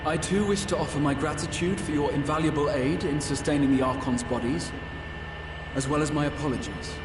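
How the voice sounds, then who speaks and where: A man's voice: on the high side, a little fast, noisy background, natural voice. A man voicing an earnest, deferential young scholar mage in a video game, speaking formally and courteously. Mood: apologetic. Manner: formal, courteous, archaic phrasing. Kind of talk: video game cutscene acting. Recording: echoey, distant, music behind.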